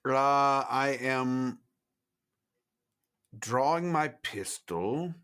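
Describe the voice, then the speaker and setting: Measured man playing a tabletop game. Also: mid-range voice, fairly bright tone, clean tone. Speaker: player in a tabletop roleplaying game. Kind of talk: tabletop game roleplay talk.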